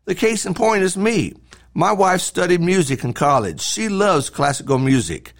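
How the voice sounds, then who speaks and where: A man's voice: high voice, animated, normal speed, creaky. A middle-aged man reading a devotional talk in an earnest, emphatic, preacherly way. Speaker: preacher hosting a devotional podcast. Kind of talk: scripted devotional talk, read aloud.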